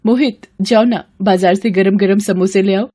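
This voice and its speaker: A woman's voice: mid-range voice, steady tone, normal speed, mellow, close to an everyday voice. A woman voicing a devoted, affectionate young daughter-in-law in a moral story, eager and doting. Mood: joyful, doting.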